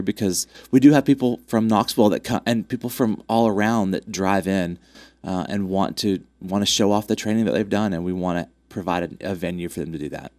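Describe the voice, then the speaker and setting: A man's voice: deep voice, a little mellow, dry recording. A man with a congested voice, chatting upbeat about upcoming races with lots of fillers. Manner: upbeat, promotional, many fillers. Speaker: track club representative. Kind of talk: casual interview chat.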